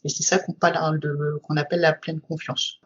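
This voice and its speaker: A woman's voice: low voice, level tone, normal speed, slightly rough, muffled recording. A woman, a guest trainer, explaining her subject at length in casual conversation, with frequent fillers.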